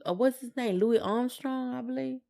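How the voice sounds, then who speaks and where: A woman's voice: fairly high pitch, normal speed, vocal fry, warm tone. An American woman co-hosting a podcast, chatting casually with informal, somewhat hesitant phrasing. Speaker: podcast co-host. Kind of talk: casual conversational chat.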